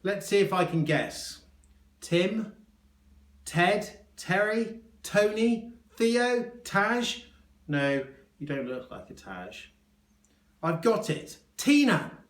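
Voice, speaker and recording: A man voicing a flustered, excitable, bumbling hospital doctor in a children's story, given to panicky exclamations and eager questions. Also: high voice, slow, pauses often, reverberant, pitched above the performer's own voice, heavily acted. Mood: eager, excited. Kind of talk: children's storybook character reading.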